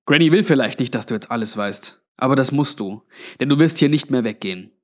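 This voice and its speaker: A man's voice: mid-range voice, expressive, brisk, natural voice. A man voicing a weary, blunt old undead man with a grave, resigned manner in a narrated story.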